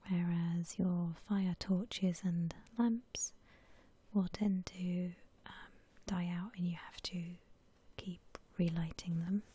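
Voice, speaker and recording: A woman doing whispered ASMR commentary over a video game, gentle and unhurried in a breathy whisper. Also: on the low side, steady tone. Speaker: video game streamer narrating her building session.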